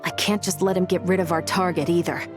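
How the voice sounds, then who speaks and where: A woman's voice: lowish voice, fairly even intonation, quick pace, natural voice. A woman playing a determined, businesslike agent in a video game, speaking in a firm, resolute way. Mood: determined, urgent. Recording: music behind the voice.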